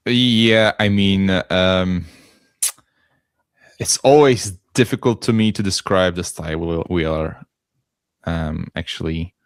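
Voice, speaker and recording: A young man from Poland with a very deep voice speaks slowly and hesitantly, pausing to find his words. His voice sounds dry and close, with no room around it. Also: clear, resonant tone, no echo. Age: 20s. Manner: hesitant, searching for words, soft laughs. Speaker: band member guest. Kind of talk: casual interview chat.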